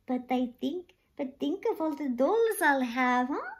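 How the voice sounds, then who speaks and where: A woman's voice: high-pitched, expressive, a little slow, pitched above the performer's own voice. A woman voicing a sweet, playful little granddaughter in a read-aloud storybook. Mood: cheerful.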